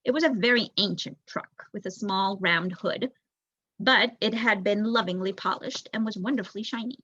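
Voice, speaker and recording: Muffled lo-fi sound, young woman, storybook narration, bright voice, slightly raspy, expressive reader. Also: medium pitch, normal speed, no room sound. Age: young adult. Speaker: volunteer audiobook reader. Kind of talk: storybook narration read aloud.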